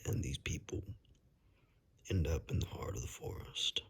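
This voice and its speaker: A man playing a cautious forest dweller in an ASMR roleplay, speaking in a hushed, halting, gently reassuring way. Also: close to an everyday voice. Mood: grave, explanatory. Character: cautious male forest dweller guiding a lost stranger to safety.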